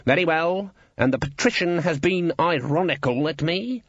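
A man's voice: fairly high pitch, normal speed, no room sound, lo-fi sound, voice pushed up, close to an everyday voice. A man voicing an irritable, domineering guild boss in a storybook reading, growling and sarcastic. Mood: angry, commanding.

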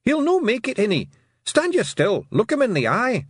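Pint-sized Scottish brawler for a storybook: high, husky, combative, always spoiling for a fight. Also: male voice, wildly swooping pitch, moderate pace, no echo, clean studio sound, exaggerated voice. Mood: urgent, bossy. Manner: shouting, exclamatory, combative. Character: tiny feisty blue warrior men, brash and scrappy.

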